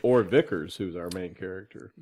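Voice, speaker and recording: A man's voice: low-pitched, moderate pace, darkish tone. A man interviewing a guest as podcast host, chatting informally and jokingly with loosely phrased questions. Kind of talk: casual interview chat.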